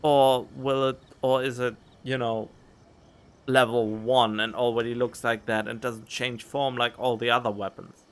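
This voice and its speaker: A man's voice: mid-range voice, level tone, unhurried, clear, resonant tone. A man giving casual gameplay commentary as a streamer, musing to himself in a rambling way. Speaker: game streamer.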